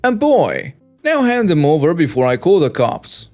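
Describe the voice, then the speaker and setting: A man's voice: a little high, huge pitch swings, normal speed, a little mellow, faint music behind. A man voicing a pushy, entitled mother who keeps demanding and threatening. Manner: insistent, demanding.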